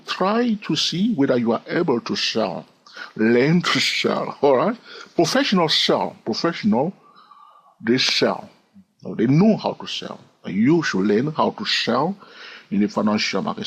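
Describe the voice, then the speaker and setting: Animated husky male trading teacher. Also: mid-range voice. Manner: animated, repetitive, keeps asking "all right?". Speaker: trading instructor. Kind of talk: screen-recorded trading tutorial.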